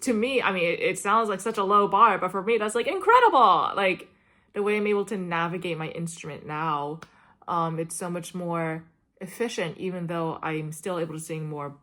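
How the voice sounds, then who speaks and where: A woman's voice: on the low side, fairly bright tone, a little hiss or hum. A young adult woman, a classical singer, talking casually to camera in a meandering, chatty way, full of "um" and "like". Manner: chatty, meandering, frequent "um" and "like", light laughs. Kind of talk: rambling casual chat to camera.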